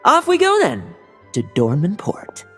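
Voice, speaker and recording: An actor playing a sly, cheerful young knight in a game, breezy and smooth-talking. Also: male voice, sits high, wildly swooping pitch, a little slow, a bit bright, faint music behind, full character voice.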